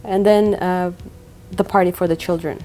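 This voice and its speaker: A woman's voice: fairly low pitch, fairly even intonation, moderate pace, a little mellow, rough recording. A woman charity organizer explaining her work in a matter-of-fact way, with frequent filler uhs. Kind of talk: conversational interview.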